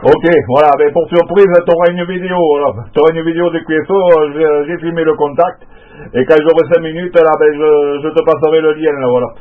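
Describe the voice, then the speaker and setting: A man's voice: fairly high pitch, steady tone, a little fast. A man chatting casually as an amateur radio operator, rambling along with repeated tag words, his voice thin and narrow-band. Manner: rambling, repeats filler tags. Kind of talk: casual radio contact chat.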